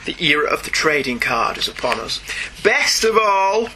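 A man's voice: a little high, big pitch swings, normal speed, sharp tone, a little hiss or hum, poor audio. A middle-aged British man chatting casually as a podcast host, with a wry, jokey, mock-exasperated way of talking. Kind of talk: casual comic book podcast chat.

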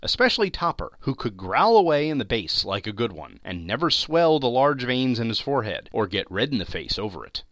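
A male narrator reads classic fiction theatrically, his pitch swinging widely for emphasis. The voice is bright and forceful, recorded close and dry. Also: very animated, a little fast, few pauses, dry recording. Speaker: podcast host, narrator. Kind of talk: dramatic audiobook reading of a classic story.

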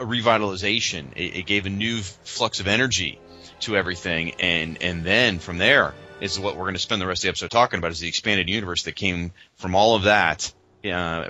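A man with a fairly low pitch and a very bright, punchy tone. He co-hosts a podcast conversationally, informal and emphatic as he steers the discussion. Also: highs cut off. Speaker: podcast co-host. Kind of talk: casual conversational hosting.

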